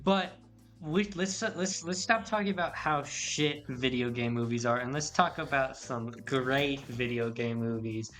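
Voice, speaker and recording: A young man co-hosting a casual podcast, excitable and quick to swear, sometimes mimicking others in a sing-song voice. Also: medium pitch, faint music behind. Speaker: podcast co-host. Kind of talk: casual chat.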